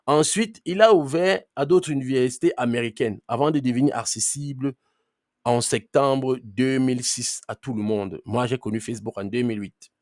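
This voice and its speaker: A man leads a live online class through a computer mic on a video call, with a dry sound and no room echo. His pitch sits in the middle range. He talks conversationally, keeps checking in with his students, and firmly tells latecomers to mute their mics. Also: normal speed. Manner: firm with late students about muting. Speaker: trainer.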